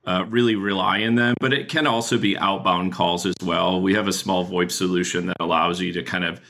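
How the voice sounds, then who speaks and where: Low, gravelly male interview guest. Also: steady tone, a little fast, a bit bright. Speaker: company product executive, podcast guest. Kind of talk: conversational explanation in an interview.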